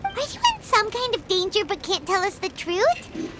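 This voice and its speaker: A woman voicing a tiny, childlike, excitable female fairy companion in a video game, with a squeaky, exclamatory delivery. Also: normal speed, over loud music. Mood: accusing, earnest. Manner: exclamatory, refers to self in third person. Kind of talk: video game character voice acting.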